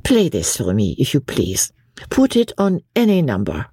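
A woman's voice: a bass voice, huge pitch swings, normal speed, mellow, slightly rough, no echo, clean studio sound, full character voice. A woman voicing a wistful, sly little lady gambler from a storybook, coaxing and theatrical, with a foreign accent.

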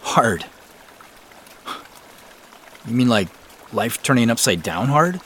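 A man's voice: medium pitch, big pitch swings, slow pace, fairly bright tone, slight background noise. A man acting in an audio drama as a wry everyman searcher, muttering to himself in a hesitant, informal way. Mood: puzzled, exasperated. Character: Joseph, wry everyman searcher puzzling over clues.

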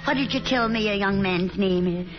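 A woman's voice: medium pitch, normal speed, close to an everyday voice. An actress playing a fussing, genteel middle-aged Southern mother, gushing and coaxing, in 1950s radio drama style. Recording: muffled old radio recording, music behind.

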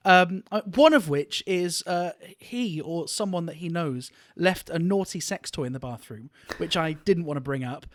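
A man co-hosting a casual podcast, telling stories in an animated, joking, mildly profane way. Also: fairly high pitch, normal speed, few pauses, no echo, studio quality. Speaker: podcast co-host.

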